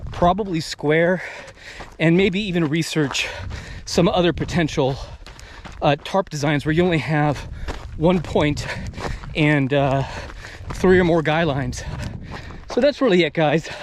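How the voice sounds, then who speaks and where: A man in his thirties talking casually to viewers as the host of an outdoor video channel, out in the open. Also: a little high. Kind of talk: casual freestyle vlog talk to viewers. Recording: noisy outdoor field recording.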